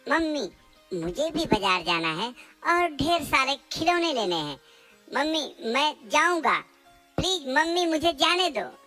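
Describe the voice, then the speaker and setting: Woman as a high-pitched eager little cartoon boy. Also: sing-song, unhurried, pushed and bright, soft background music, exaggerated voice. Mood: pleading, insistent. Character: eager stubborn little boy, trusting and polite. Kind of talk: children's cartoon story acting.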